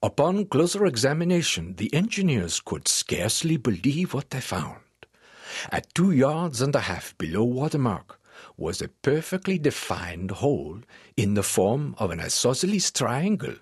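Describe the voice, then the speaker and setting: An actor playing a French professor of natural history, narrating a dramatized audiobook in a formal, measured way. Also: male voice, mid-range voice, big pitch swings, husky, no echo, studio quality. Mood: measured, intrigued.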